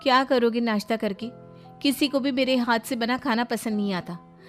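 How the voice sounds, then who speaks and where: A woman's voice: a little high, normal speed, faint music behind, close to an everyday voice. A woman playing a put-upon young wife in a cartoon family story, sulky and grumbling. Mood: bitter, sulky.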